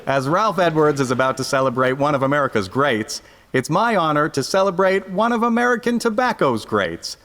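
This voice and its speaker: Man acting hearty sponsor announcer, formal, lively pitch swings, 1950s radio, long reverb. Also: a little fast, a little hiss or hum. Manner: formal, salesmanlike.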